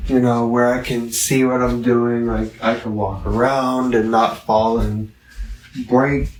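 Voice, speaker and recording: Slow-talking man in an echoey room. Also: mid-range voice, little pitch movement. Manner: halting, self-correcting, thinking aloud. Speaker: amateur product reviewer. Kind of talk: casual rambling product review vlog. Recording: roomy, echoing, distant from the mic.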